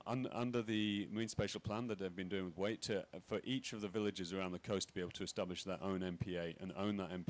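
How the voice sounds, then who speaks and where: Halting deep-voiced man on a panel. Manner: halting, many fillers and restarts. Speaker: panel speaker. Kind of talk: conference panel remarks. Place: conference pavilion.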